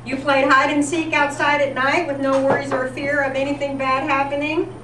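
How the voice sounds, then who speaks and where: A woman's voice: high voice, level tone, moderate pace. A woman reading scripted, nostalgic narration as a presenter at a volunteer appreciation event. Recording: echoey room, distant mic, background noise.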